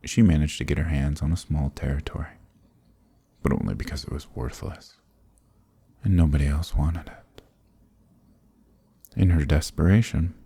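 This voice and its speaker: An extremely deep-voiced archdemon, acted by a man, ancient and smug, speaks in a dark fantasy ASMR roleplay. The tone is dark and heavily gravelled, with a husky breath to it. Each phrase comes slowly, with condescending, dominant authority. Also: dry recording, exaggerated voice. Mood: smug, weary. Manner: slow, deliberate, condescending, commanding.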